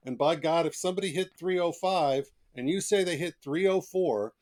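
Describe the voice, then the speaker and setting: A man's voice: on the high side, normal speed, a little mellow. A man speaking as an author guest on a podcast, telling stories in a casual, colloquial and emphatic way. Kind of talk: casual podcast interview, anecdotal storytelling.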